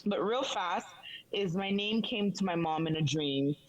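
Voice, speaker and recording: A woman's voice: on the low side, unhurried, a crowd in the background, rough recording. A middle-aged woman from Haiti, speaking earnestly as an interview guest and growing firm and emphatic. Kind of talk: casual interview talk.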